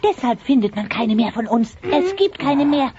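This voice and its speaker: A woman's voice: fairly high pitch, very animated, moderate pace, mellow, slight background noise. A woman voicing a small, earnest know-it-all creature in a comedy radio play, matter-of-fact, on a muffled cassette recording. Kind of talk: German-dubbed comedy radio play acting. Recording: muffled cassette, busy background sounds.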